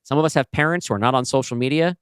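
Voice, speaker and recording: A man talking casually and bluntly as a podcast host, with loose swearing and an animated discussion style. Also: mid-range voice, very fast, clean tone, no echo, studio quality.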